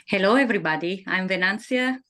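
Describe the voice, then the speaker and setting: A woman from Italy introducing herself haltingly, with many fillers. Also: mid-range voice, expressive, a bit bright. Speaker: assistant.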